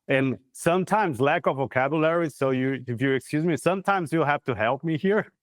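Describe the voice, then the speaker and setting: Interview guest: man in his forties with a Brazilian accent, smooth voice, halting English, dry room. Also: on the high side, dry recording. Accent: Brazilian Portuguese. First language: Portuguese. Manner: halting, searching for words with many fillers. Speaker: guest, corporate real estate head. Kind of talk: video call interview. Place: plain indoor room. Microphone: lapel mic on video call.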